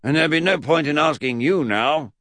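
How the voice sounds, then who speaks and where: A stern, eccentric old gentleman blusters in a pitched-up voice that leaps about. He is pompous and emphatic, every phrase proclaimed like a grand pronouncement in a comic storybook. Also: animated, moderate pace, no echo. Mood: stern, indignant. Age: older. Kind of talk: comic children's audiobook character voice.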